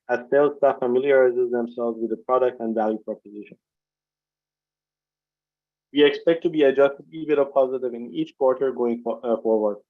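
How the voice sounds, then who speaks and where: Man, slightly high-pitched voice, formal scripted earnings presentation, measured delivery, muffled lo-fi conference call audio. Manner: formal, measured reading from prepared remarks. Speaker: company executive presenting financial results.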